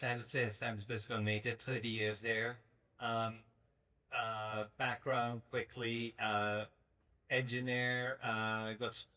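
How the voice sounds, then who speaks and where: A man's voice: lowish voice, sharp tone, poor audio. A man introducing himself on a panel with dry, self-deprecating humor. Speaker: panel judge, entrepreneur.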